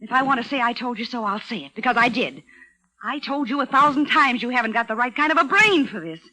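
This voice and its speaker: An actress playing a fed-up, sarcastic wife of a crook, biting and nagging, in an old-time radio crime drama. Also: female voice, on the high side, animated, fast talker, pushed and bright, rough recording. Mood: mocking, scolding.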